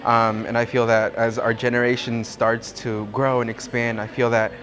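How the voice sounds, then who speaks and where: A young man speaks at a middle pitch in a thoughtful, searching way, pausing often on fillers as he answers interview questions. His delivery is loose and conversational. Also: flat delivery, fairly bright tone.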